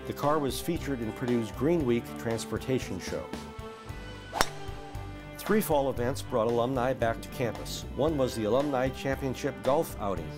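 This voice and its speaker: A man's voice: medium pitch, moderate pace. Male newsreel narrator over prominent music. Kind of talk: scripted narration.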